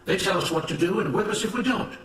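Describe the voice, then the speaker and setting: Defiant plantation slave rallying his fellows, played by a male reader: high, impassioned, emphatic, in a roomy, noisy recording. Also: quick pace, a bit bright. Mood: indignant. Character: defiant enslaved plantation worker, impassioned man rallying others. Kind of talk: dramatized story reading. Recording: roomy, noisy, distant microphone.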